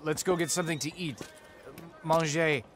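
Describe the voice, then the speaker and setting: An actor playing a kindly, effusive friar who talks eagerly and fusses. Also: male voice, fairly high pitch, steady tone, normal speed, a bit bright, a little hiss or hum, lightly acted. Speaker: monk. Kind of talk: video game cutscene acting.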